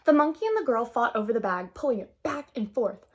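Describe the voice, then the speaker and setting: A woman reading a children's picture book aloud in an expressive, gentle, unhurried way, with casual asides to young listeners. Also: a little high. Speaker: storybook reader.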